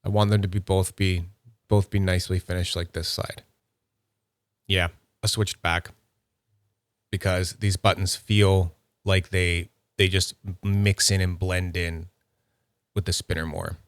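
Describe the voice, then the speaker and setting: Male reviewer, slightly low register, dry close sound. Casual and upbeat, thinks aloud while unboxing. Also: little pitch movement, unhurried, pauses often, dry recording. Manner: chatty, thinks aloud while handling items; nerdy and upbeat. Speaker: YouTube fidget toy reviewer.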